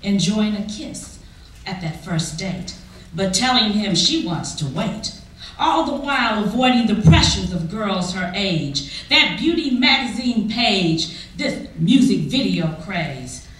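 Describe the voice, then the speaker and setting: Female poetry reader, expressive and widely varied in pitch, unhurried dramatic delivery, recorded distantly in a reverberant hall. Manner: expressive, deliberate, with drawn-out pauses. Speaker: poetry reader at a church performance. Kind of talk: dramatic spoken-word poetry recitation. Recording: echoey hall, distant mic, faint noise.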